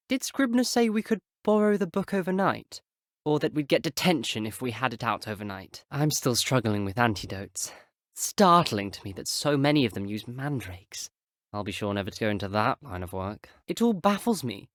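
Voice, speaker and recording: A man's voice: medium pitch, no echo, studio quality, natural voice. An actor with an English accent playing a well-mannered, slightly haughty teenage male wizard student in a video game, polite and wry in manner. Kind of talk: video game character voice clips.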